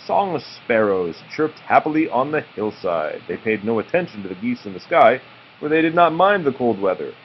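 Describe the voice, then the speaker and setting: A man reading a children's storybook aloud like a father, with expressive, deliberate phrasing. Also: mid-range voice. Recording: muffled, faintly noisy home recording.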